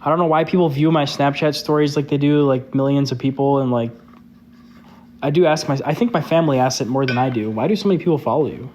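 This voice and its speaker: A man's voice: mid-range voice, fast, a little mellow, a little hiss or hum. A young man chatting casually on a live stream, loose and candid, filling his talk with "like". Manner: loose and candid, heavy use of "like". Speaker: social media creator hosting a live stream.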